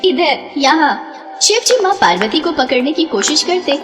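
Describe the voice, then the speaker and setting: A woman narrates a devotional tale in a high, expressive voice. Her scripted storytelling sits in a long reverb with music underneath. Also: fairly quick.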